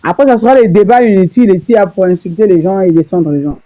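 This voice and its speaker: A woman's voice: mid-range voice, animated, fast talker, mellow, dull audio. Hesitant woman on a panel. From Brazzaville, Congo. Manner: hesitant, many fillers and restarts, defensive. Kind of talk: online debate.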